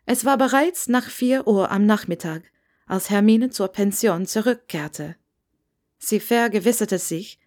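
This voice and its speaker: Woman, audiobook narrator, scripted storytelling, medium pitch, lively intonation.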